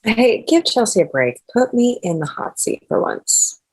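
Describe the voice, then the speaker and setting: A woman's voice: fairly high pitch, big pitch swings, unhurried, husky, heavily acted, rough recording. A woman reading the part of a feisty, teasing young elite mountain climber in a script table read. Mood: scoffing, defiant.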